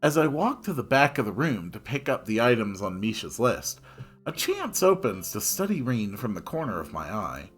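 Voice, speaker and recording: A man's voice: on the low side, big pitch swings, normal speed, fairly bright tone, slightly rough. A man reading a visual novel aloud as first-person narrator, dramatic and emphatic. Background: music.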